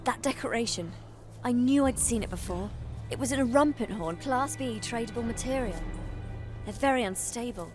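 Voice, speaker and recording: A woman's voice: on the high side, moderate pace, natural voice. An actress playing an earnest, clever young witch in a video game. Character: earnest, clever young witch reading a fairy tale and warning friends. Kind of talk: film-like video game voice acting. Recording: loud music over the voice.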